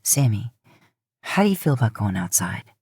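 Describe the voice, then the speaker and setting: A woman voicing an easygoing, affectionate, teasing older brother in a casual, gruff audiobook delivery. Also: a bass voice, big pitch swings, moderate pace, a little mellow, dry recording, clean studio sound, voice pushed down, full character voice. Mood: encouraging, practical. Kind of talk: audiobook character dialogue.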